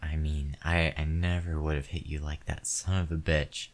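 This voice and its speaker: A man's voice: rumbling low, level tone, moderate pace, very noisy. An actor in a one-sided audio roleplay, playing a crude, hot-tempered man who curses constantly and turns frightened and pleading. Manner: heavy cursing, snarling.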